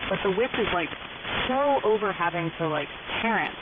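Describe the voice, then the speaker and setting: A woman's voice: mid-range voice, expressive, moderate pace. A young American woman with a Californian Valley girl accent, telling fairy tales in gushy, ditzy, exaggerated slang. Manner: gushy, ditzy, peppered with filler words. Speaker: storyteller on a pirate radio program. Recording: hissy, narrow-band shortwave radio, very noisy. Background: loud static and hiss.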